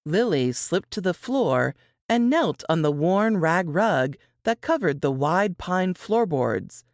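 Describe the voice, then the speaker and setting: A woman reading scripted fiction as an audiobook narrator, in an expressive storytelling style. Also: lowish voice, slow pace, no room sound, clean studio sound.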